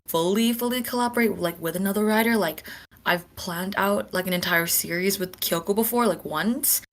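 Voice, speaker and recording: A woman's voice: mid-range voice, normal speed. A woman chatting casually on a panel, very informal, with frequent filler words breaking up her phrases. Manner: informal, peppered with "like". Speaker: podcast panelist. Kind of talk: casual chat.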